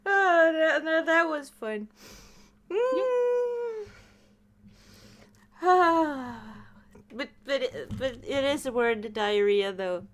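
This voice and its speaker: A woman's voice: sits high, expressive, extremely slow, long pauses. A woman hosting a casual livestream chat in a giggly, jokey way. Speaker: podcast host and streamer.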